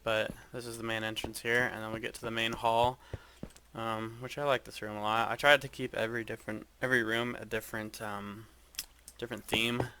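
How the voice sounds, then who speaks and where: Guy with a flat low voice, lots of ums, showing off his game base, noisy mic. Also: a little fast. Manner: monotone, hesitant with many ums. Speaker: gaming video creator. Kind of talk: casual game walkthrough.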